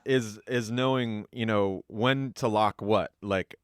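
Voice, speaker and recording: A man speaking as an audio designer in a conversational panel, explaining things in a halting, measured way. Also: lowish voice, steady tone, clear, resonant tone, no echo, clean studio sound.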